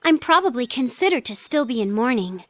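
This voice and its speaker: A woman's voice: a little high, big pitch swings, fairly quick. A woman voicing an earnest, considerate and reserved teenage girl in an anime video game, polite and thoughtful. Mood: shy, hesitant.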